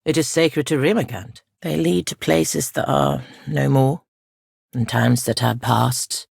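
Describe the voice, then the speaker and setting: Soft, creaky ancient spirit guardian. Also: female voice, extremely low pitch, fairly even intonation, dry recording, clean studio sound, exaggerated voice. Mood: grave, foreboding. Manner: solemn, measured, archaic formal phrasing. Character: ancient female spirit guardian, solemn, weary, formal. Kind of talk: video game character dialogue.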